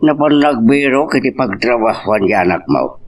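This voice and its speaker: A man's voice: mid-range voice, expressive, normal speed, lightly acted. A man playing an aging, judgmental father-in-law in a family audio drama, with a grumbling, emphatic delivery. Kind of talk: Ilocano radio-style family drama acting.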